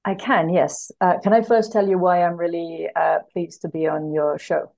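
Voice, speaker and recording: Lady with a lowish deep voice chatting casually as an interview guest. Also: fairly even intonation, moderate pace, gravelly, a little mellow. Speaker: podcast guest, literary translator.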